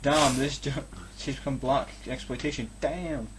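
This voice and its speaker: A man's voice: medium pitch, a little slow, background noise. A Scottish man reading a story aloud in a casual, mocking way, breaking off for sweary, exasperated asides. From Scotland. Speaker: reader on a comedy fan fiction reading recording.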